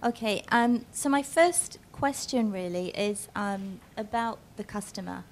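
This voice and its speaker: A woman moderating a panel, asking questions in a chatty, halting way with fillers. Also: medium pitch, slight background noise. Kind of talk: panel discussion.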